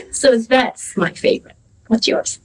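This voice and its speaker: A woman from Singapore speaking casually on a festival panel, hesitant with many pauses. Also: on the high side, recorded from a distance, slight background noise, poor audio. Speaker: author on a panel. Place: festival venue.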